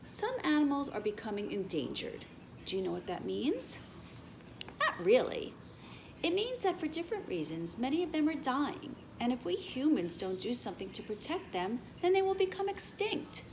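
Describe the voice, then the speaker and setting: Woman playing a high-pitched, lively schoolteacher. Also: moderate pace, a little hiss or hum, full character voice. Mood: patient. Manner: clear, instructive, emphatic. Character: patient, explaining female elementary school teacher. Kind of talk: children's storybook read-aloud.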